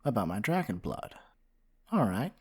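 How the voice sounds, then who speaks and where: A man playing a flirty, slightly possessive dragon-blooded librarian in an ASMR roleplay, speaking softly and teasingly to the listener. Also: fairly low pitch, very animated, moderate pace, husky, heavily acted. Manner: coy, teasing, playfully insistent. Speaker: roleplay performer.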